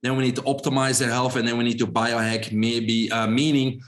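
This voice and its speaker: A man in his forties from Belgium with a Belgian accent, a podcast guest who tells his story in a relaxed way with frequent fillers. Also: mid-range voice, a little fast. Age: 40s. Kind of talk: podcast interview.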